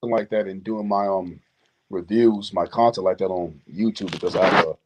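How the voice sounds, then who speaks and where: Boston guy in his 30s, lower voice, just chatting, laid back and slangy. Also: steady tone, fairly quick, heavy background noise. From Boston, United States. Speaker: guest. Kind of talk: casual chat.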